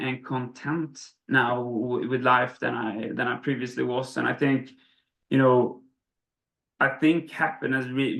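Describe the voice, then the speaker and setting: A man's voice: mid-range voice, creaky, a bit bright. A man from Sweden talking as an interview guest, hesitant, with many restarts and fillers. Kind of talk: casual interview. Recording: roomy echo.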